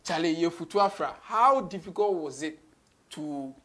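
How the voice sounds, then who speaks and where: A man's voice: a little high, lively intonation, moderate pace, a bit bright. A young man with a Ghanaian accent hosting a casual interview, moving between two languages as he talks. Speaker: interview host. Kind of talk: casual interview, mixing English and Twi. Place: small indoor radio studio.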